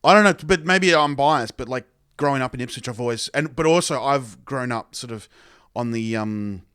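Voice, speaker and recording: A man's voice: mid-range voice, moderate pace, fairly bright tone, smooth, dry recording, studio quality. Rambling, hesitant Australian man. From New Zealand. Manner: rambling, hesitant, laughs often. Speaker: podcast guest. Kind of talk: casual chat.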